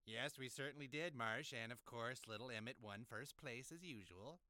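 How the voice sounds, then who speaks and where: A man voicing a smug, condescending rival suburban dad in an animated comedy. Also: medium pitch, moderate pace, a little hiss or hum, natural voice.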